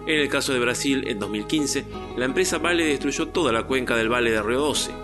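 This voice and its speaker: A male newsreader delivers a scripted report in a measured, even way. His voice sits at a middling pitch. A clear guitar music bed plays underneath. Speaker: news presenter. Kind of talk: scripted news reading.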